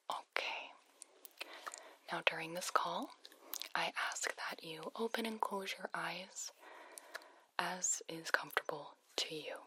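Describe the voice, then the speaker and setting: A woman whispers breathily through a phone voice effect, gently guiding a relaxation ASMR roleplay as a soothing sleep hotline specialist. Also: lowish voice, rough recording. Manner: slow, soothing, gently instructive. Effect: phone voice filter.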